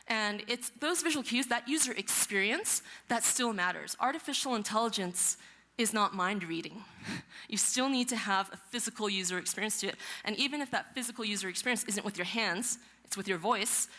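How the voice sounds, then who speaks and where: A woman in her thirties from Hong Kong, giving a relaxed, chatty conference talk on a large stage through a headset mic, with self-deprecating asides. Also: mid-range voice. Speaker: conference speaker.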